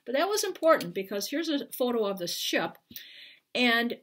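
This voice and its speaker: An older American woman, a children's author, speaking to viewers at home in an expressive, unhurried storytelling manner. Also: on the high side. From Iowa, United States. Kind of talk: picture book read-aloud and informal talk to viewers. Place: living room at home, indoors. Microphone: webcam at arm's length.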